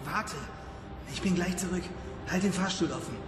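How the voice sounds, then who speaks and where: Man playing a high-pitched, urgent young investigator. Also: moderate pace, loud music behind the voice, lightly acted. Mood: urgent, excited. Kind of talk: German radio drama acting.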